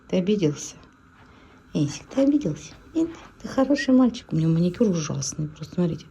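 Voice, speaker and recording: A woman's voice: lowish voice, creaky, mellow. Chatty woman vlogging on her phone. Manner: chatty, talks to viewers and her pet. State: scratchy throat, coming down with a cold. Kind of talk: casual vlog chat to camera. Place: at home indoors, then at an outdoor bus stop. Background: wind and passing traffic noise.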